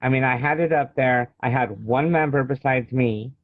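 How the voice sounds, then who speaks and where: A man from the United States giving a casual interview as an author, often halting and searching for words, over thin phone-like call audio. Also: medium pitch, no room sound.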